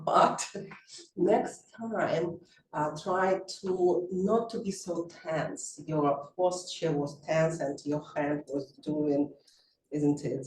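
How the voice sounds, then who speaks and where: Non-native man with a Russian accent, halting club evaluator, slow delivery, distant echoey room recording. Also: a little high. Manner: halting, searching for words, gentle feedback. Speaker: general evaluator at a speaking club. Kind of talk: spoken evaluation and meeting chairing. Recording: echoey room, distant mic, faint noise.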